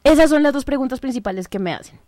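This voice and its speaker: A woman's voice: high-pitched, expressive, quick pace, mellow, dry recording. A woman from Colombia with a Bogotá accent, co-hosting a radio talk show and chatting through anecdotes into a studio mic. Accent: Bogotá Colombian Spanish. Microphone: studio microphone.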